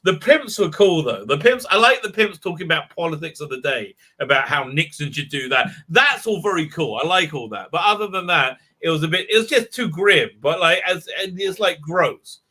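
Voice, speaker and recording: A man's voice: on the high side, expressive, normal speed, few pauses, pushed and bright. A man hosting a casual livestream call, rambling through chat messages with lots of fillers and restarts. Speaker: livestream host.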